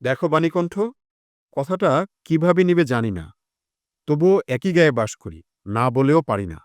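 Guy doing nosy older village uncle in a radio play, scolding, voice goes up and down a lot, kinda high. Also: sing-song, normal speed, no room sound. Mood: insinuating. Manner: insinuating, admonishing. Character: meddling, scolding older village gossip man. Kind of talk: Bengali audio drama acting.

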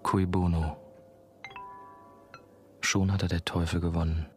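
An actor playing a troubled, wry young novice monk who narrates a radio play in a measured, introspective way. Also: male voice, low voice, little pitch movement, a little mellow, faint music behind. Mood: brooding. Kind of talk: scripted first-person radio play narration.

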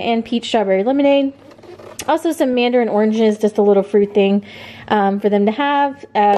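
A woman in her thirties chats to a camera at home, upbeat and loose, peppering her talk with "like" and "um". Her voice sits a little above the usual pitch for a woman. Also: a little mellow. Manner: chatty, upbeat, filler-heavy. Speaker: vlogger. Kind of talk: casual haul vlog. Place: home bathroom and kitchen, indoors. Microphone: camera on tripod, then handheld.

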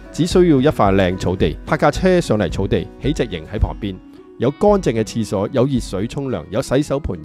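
A man with a Hong Kong Cantonese accent narrating a voice-over in a calm, soft tone. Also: on the low side.

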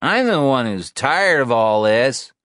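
A man's voice: a little high, sing-song, unhurried, a bit bright, dry recording, studio quality, pitched above the performer's own voice. Man voicing a sullen, whiny drunk teenage boy. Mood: whiny, bitter. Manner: whiny, self-pitying. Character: sullen, drunk teenage son, whining and defiant. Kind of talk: audiobook character dialogue.

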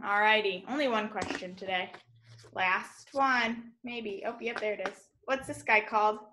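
A young woman teaching small children in a video lesson, animated and encouraging, spelling out and enunciating words as she invites them to repeat. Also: mid-range voice, unhurried, slight background noise. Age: young adult. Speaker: elementary school teacher. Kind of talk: early-grade video lesson to children, call and response. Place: room in a home, indoors.

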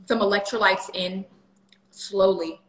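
A woman's voice: mid-range voice, fairly even intonation, a little slow, reverberant, low-quality recording. A woman in her thirties chatting casually on a livestream at home, answering viewer questions with lots of fillers. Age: 30s. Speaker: vlogger. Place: home kitchen. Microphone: phone camera at table.

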